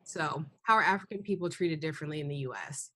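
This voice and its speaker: A woman's voice: low-pitched, fast talker, fairly bright tone. A woman, hosting a podcast and moderating a group discussion. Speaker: podcast host.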